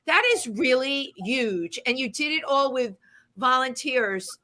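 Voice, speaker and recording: A woman co-hosts a relaxed talk show with a high, bright voice. She keeps nudging her guest along with warm, affirming interjections and quick follow-up questions. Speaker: co-host. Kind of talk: casual interview chat.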